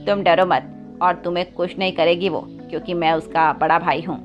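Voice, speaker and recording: A woman's voice: fairly low pitch, level tone, a little fast, muffled recording, soft background music, pitched below the performer's own voice, close to an everyday voice. A woman voicing a kind, earnest young male parrot, a protective big brother, in a children's cartoon story. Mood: reassuring. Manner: reassuring, earnest, firm.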